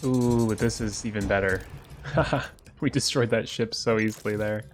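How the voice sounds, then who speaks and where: A man's voice: lowish voice, normal speed, faint music behind. Congested man doing upbeat game commentary. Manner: upbeat, excited at loot. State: congested from a cold. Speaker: gaming YouTuber. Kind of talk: let's play commentary.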